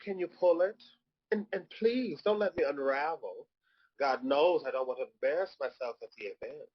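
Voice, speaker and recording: Casting: male actor as sassy, gossipy drag queen, theatrical drawn-out lines, lively swoops, slightly raspy, dull recording. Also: fairly high pitch. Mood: flirty, gossipy. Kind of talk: comedic live table read. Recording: dull lo-fi audio.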